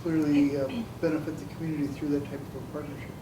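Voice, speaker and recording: A man informally introducing himself and his work to a group, speaking a little haltingly. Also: a little high, level tone. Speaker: community organization worker, workshop participant. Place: large echoey room, mic at a distance. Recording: echoey room, distant mic, clear background noise.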